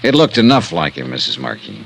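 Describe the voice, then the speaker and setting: An actor playing a cynical, hard-boiled insurance investigator with an American accent, dry and direct, in a 1950s radio detective drama. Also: male voice, low-pitched, huge pitch swings, fairly quick, creaky, a bit bright, full character voice, poor audio. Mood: dry suspicion.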